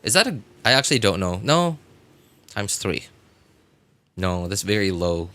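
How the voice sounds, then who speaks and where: A man's voice: low voice, very animated, measured pace, sharp tone. A man game livestreamer chatting casually, thinking aloud in a hesitant way and often repeating himself. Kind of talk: casual livestream chat while theorycrafting a game.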